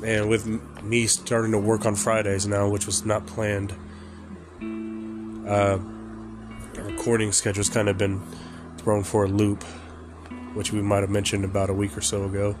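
Congested tired man, low flat voice. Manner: rambling, halting with fillers. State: congested, stuffy nose; exhausted from lack of sleep. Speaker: podcast host. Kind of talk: casual song reaction chat. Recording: music playing loudly behind him. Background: rock music.

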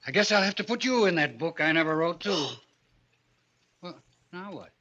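An actor playing a grumbling, sarcastic, sleepy husband in a 1940s radio thriller style. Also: male voice, high voice, animated, moderate pace, pushed and bright, full character voice. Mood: sarcastic, irritated. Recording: dull, faintly noisy old radio recording.

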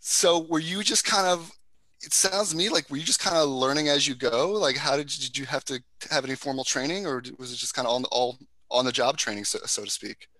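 A man's voice: medium pitch, a little fast, pushed and bright, no echo. A man interviewing a guest, asking hesitant questions full of fillers.

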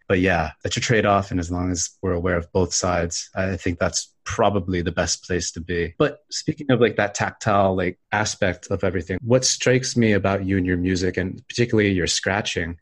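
A man with a very low, deep voice hosting a podcast. He opens with a steady scripted intro, then shifts into relaxed, friendly questions for his guest. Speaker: podcast host. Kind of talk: podcast interview.

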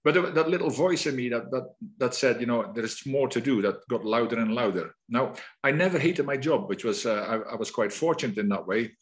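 A man's voice: mid-range voice, expressive, brisk, few pauses. A middle-aged man from the Netherlands with a Dutch accent, talking reflectively as an interview guest, often restarting his sentences. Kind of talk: conversational interview.

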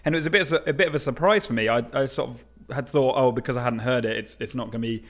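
A man's voice: medium pitch, fast talker. A man co-hosting a casual podcast, easygoing and hesitant, often restarting his sentences. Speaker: podcast co-host. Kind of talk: casual chat.

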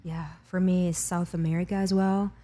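A woman with an Italian accent talking reflectively as a podcast guest, often pausing mid-thought. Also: on the low side, little pitch movement, dark tone. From Italy. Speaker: podcast guest, spiritual healer and teacher. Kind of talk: reflective casual conversation.

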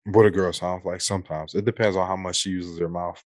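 A man's voice: sits low, fairly even intonation, a little fast, no room sound, studio quality. An American man co-hosting a podcast in casual, unscripted chat, speaking in an opinionated, colloquial way with some mild swearing. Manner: opinionated, colloquial, mildly profane. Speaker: podcast co-host.

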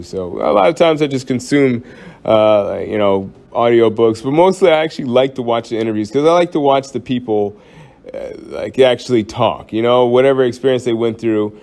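A man's voice: lowish voice, darkish tone. Rambling casual podcast host man. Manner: rambling, joking, frequent fillers and restarts. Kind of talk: casual solo podcast chat.

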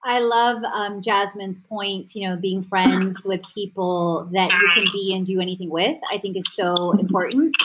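A woman's voice: mid-range voice, steady tone, unhurried, hardly any pauses, fairly bright tone, a little hiss or hum, low-quality recording. A woman hosting a casual group conversation in an affirming, encouraging manner. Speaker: host.

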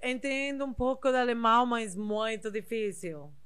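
A woman from southern Spain, a native Spanish speaker, talking casually in non-native Portuguese with a Spanish accent, slightly halting and mixing in Spanish words. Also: a little high, fairly bright tone, clean tone. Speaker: interview guest, body piercer. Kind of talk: casual conversational storytelling.

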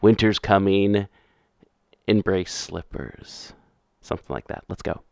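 A man's voice: low-pitched, unhurried, gravelly, a little mellow, dry recording. A man hosting a podcast, talking in a casual, offhand way through intros and plugs. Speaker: podcast host.

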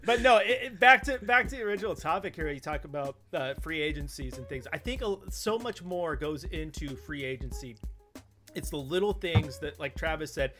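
A man's voice: on the high side, expressive, brisk, music in the background. A man talking sports casually as a podcast co-host, arguing his opinions emphatically and repeating his points.

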